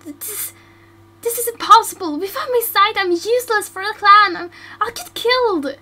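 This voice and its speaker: A woman's voice: high voice, measured pace, sharp tone. Woman voicing panicky young bandit girl. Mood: panicked. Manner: panicky, pleading, stammering. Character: frightened young female bandit, suddenly blind.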